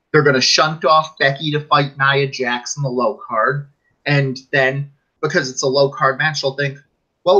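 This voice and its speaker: A man's voice: mid-range voice, pushed and bright. A man co-hosting a casual wrestling podcast, rambling and argumentative as he makes his case. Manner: rambling, argumentative, mimics fans in quotes. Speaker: podcast co-host. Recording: dull lo-fi audio.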